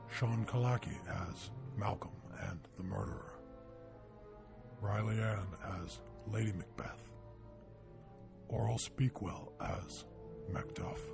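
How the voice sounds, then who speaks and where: Gravelly, slow man announcing over loud music. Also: lowish voice. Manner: slow, deliberate, grand. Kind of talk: formal scripted cast introduction for an audio play.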